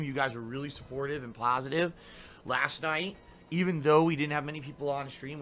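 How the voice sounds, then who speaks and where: A man's voice: mid-range voice, pushed and bright, slight background noise. A middle-aged man streaming games live, rambling and complaining in a casual monologue full of fillers. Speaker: game streamer.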